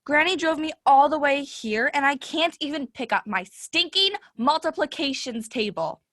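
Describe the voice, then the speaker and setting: A woman's voice: sits high, moderate pace, no room sound, clean studio sound. A woman playing a whiny schoolgirl, Red. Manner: loud, whiny, excitable. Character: eager young girl Red, Sam's classmate. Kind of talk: stage play acting.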